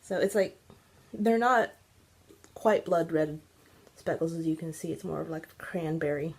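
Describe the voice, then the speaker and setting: Woman host, nasal from congestion, lowish gravelly voice, casual and meandering. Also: fairly even intonation, measured pace. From Central California. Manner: chatty and rambling, many ums. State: stuffy, congested. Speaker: podcast host. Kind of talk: casual knitting video podcast chat.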